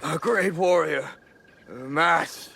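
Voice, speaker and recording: A rough game thug with a heavily creaking, gravelly voice. He is a drunken brute with a short temper, halting and slow in his speech, with a hard forceful edge. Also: on the high side, slight background noise, full character voice. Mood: cowed, halting. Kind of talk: video game cutscene acting.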